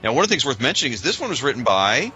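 A man's voice: mid-range voice, big pitch swings, very fast, sharp tone, muffled recording, faint music behind. A man co-hosting a podcast in casual chat, with an informal, enthusiastic way of talking. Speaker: podcast co-host.

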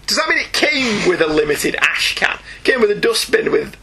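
A man's voice: sits high, big pitch swings, normal speed, crowd noise. A middle-aged British man chatting casually as a podcast host, with a wry, jokey, mock-exasperated way of talking. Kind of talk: casual comic book podcast chat.

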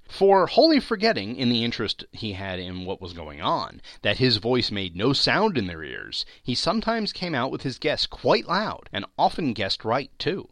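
A man giving a dramatic, theatrical reading of a classic story as narrator, with expressive emphasis. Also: medium pitch, dry recording, clean studio sound. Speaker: podcast host, narrator.

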